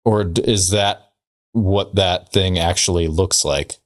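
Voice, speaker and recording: A man with a lowish voice banters as a podcast co-host. His teasing is dry, and his phrasing is casual and a little hesitant. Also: steady tone, slow.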